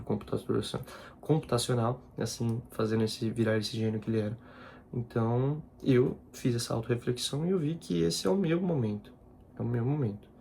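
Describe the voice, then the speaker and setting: A man's voice: medium pitch, creaky, dark tone, slight background noise. A man, a content creator talking casually in a personal vlog and often repeating himself. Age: 20s. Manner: informal, repeats himself, slangy asides.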